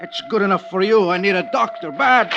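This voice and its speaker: An actor playing a wounded, defiant gangster in a radio drama, groaning in pain and pleading in broken English. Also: male voice, high voice, fairly quick, vocal fry, dull audio. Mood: defiant, hurting. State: in pain, gasping. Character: wounded, whining, defiant male gangland gunman. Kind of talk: 1950s radio drama acting. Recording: noisy vintage radio recording.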